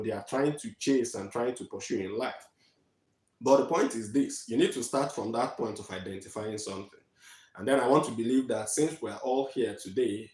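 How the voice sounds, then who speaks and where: A man from Nigeria in his thirties giving a measured online talk from slides to students, with frequent fillers. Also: medium pitch, darkish tone, reverberant, rough recording. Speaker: guest speaker. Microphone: video call.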